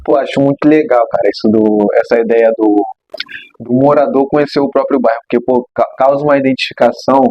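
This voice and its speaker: A man's voice: medium pitch, fairly even intonation, brisk, no room sound. A young man from Rio de Janeiro, a podcast host asking questions casually with slang and frequent restarts. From Caju, Rio de Janeiro, Brazil. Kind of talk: casual interview. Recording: slightly dull lo-fi mic.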